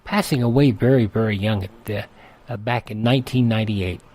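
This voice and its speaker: A man's voice: fairly low pitch, fairly quick, darkish tone. An American man hosting a radio segment, reading a this-day-in-history script with occasional ad-libbed asides. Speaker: radio host.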